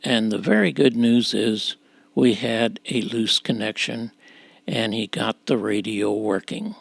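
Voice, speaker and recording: A man giving an unscripted, halting, plain-spoken walkthrough of a repair job as a presenter. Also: lowish voice, vocal fry, dry recording.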